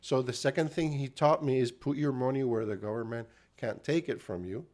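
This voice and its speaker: A man's voice: mid-range voice, darkish tone. A middle-aged man from Cuba with a Cuban and Puerto Rican accent, talking in a casual interview and stopping often to restart with fillers. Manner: halting, with frequent fillers and restarts. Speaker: guest.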